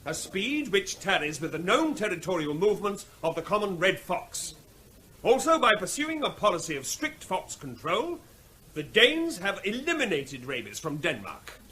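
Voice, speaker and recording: A firm official vet addressing a crowd. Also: male voice, high-pitched, very animated, normal speed, sharp tone, slight background noise, exaggerated voice. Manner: briefing a hostile meeting, raising his voice over heckling. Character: firm male regional veterinary officer. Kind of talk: vintage radio drama acting.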